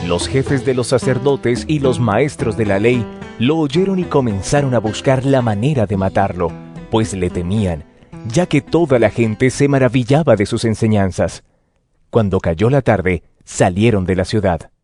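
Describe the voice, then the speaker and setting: A man's voice: fairly low pitch, expressive, normal speed, mellow. A man narrating dramatized scripture in a scripted audio production. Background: music.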